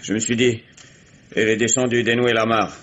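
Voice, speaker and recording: A man's voice: on the low side, little pitch movement, brisk, fairly bright tone, lo-fi sound, natural voice. An actor playing an earnest, self-sacrificing young fisherman in love, in a 1950s radio drama, with a pleading way of talking.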